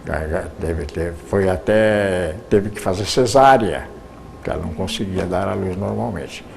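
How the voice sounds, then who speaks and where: A man's voice: low voice, unhurried, vocal fry, a little mellow, slight background noise. An older man, a retired radio announcer telling his life story, rambling with frequent restarts.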